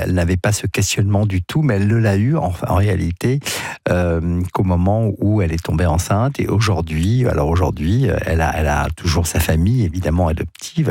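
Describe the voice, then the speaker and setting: A man hosting a radio call-in show, speaking calmly and reassuringly and repeating words as he goes. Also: low voice, vocal fry, husky, no echo, studio quality. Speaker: radio host.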